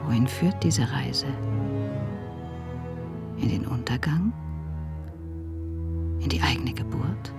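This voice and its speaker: An actress playing a grave, anxious female physician on a space crew in a German radio drama, speaking in a measured, pensive way. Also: rumbling low, animated, vocal fry, exaggerated voice. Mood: brooding. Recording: music under the voice.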